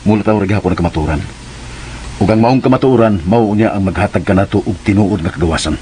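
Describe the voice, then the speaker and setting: Actor as earnest young man, rapid and low. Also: warm tone, natural voice. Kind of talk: radio drama acting. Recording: heavy background noise.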